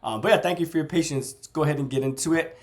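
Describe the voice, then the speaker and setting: A man's voice: a little high, rapid-fire. A man with a cold, sounding congested and a bit nasal, casually discussing a novel chapter and often correcting himself. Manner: rambling, self-correcting. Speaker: book reviewer.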